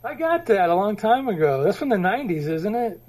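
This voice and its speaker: Guy with a pretty high voice, casual comics podcast chat, says you know a lot. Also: very animated, fairly quick, a little mellow. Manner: chatty, asks questions, many fillers like "you know". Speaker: podcast co-host.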